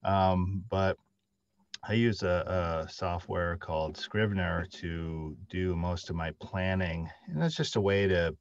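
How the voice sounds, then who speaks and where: A man's voice: low-pitched, slow pace, no room sound. A middle-aged man talking casually as a writer on a panel over a video call. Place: indoor room, on a video call. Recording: dull, dry video call audio.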